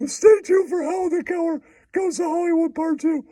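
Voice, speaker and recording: A man voicing a giggly, foul-mouthed cartoon mouse puppet in squeaky falsetto, teasing and pitching in a comic puppet show. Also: a little slow, dark tone, dry recording, clean studio sound. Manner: giggly, repeats taunting catchphrases.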